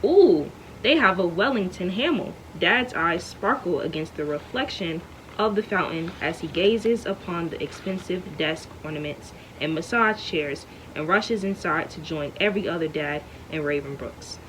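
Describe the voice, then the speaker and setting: A woman reading a chapter of a novel aloud at home in a smooth, steady way. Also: medium pitch, normal speed, slight background noise, poor audio. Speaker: read-aloud reader.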